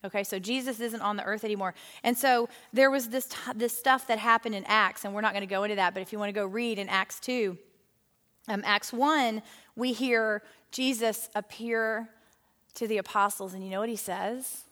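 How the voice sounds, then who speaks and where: A woman's voice: medium pitch, normal speed. Chatty woman teaching Bible study. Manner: animated, chatty, with frequent fillers. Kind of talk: lively teaching talk to a women's Bible study group. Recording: hall with long reverb.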